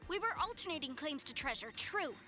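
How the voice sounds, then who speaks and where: A high-pitched, devoted young believer. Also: female voice, big pitch swings, brisk. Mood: earnest. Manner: earnest, pleading. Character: devoted young woman, earnest and stubborn believer. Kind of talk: game cutscene voice acting. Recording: game cutscene with loud music behind.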